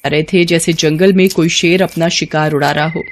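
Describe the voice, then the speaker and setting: A man's voice: fairly high pitch, steady tone, normal speed, slight background noise. A man narrating a scripted story as a storyteller.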